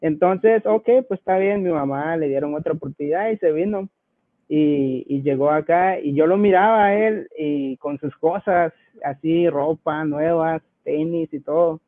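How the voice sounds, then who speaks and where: A man in his twenties with a Guatemalan accent talks informally, giving a personal testimony as a guest. His voice sits a bit high for a man. The sound is dry and narrow, like a small radio or phone line. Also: a little slow, no echo. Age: 20s.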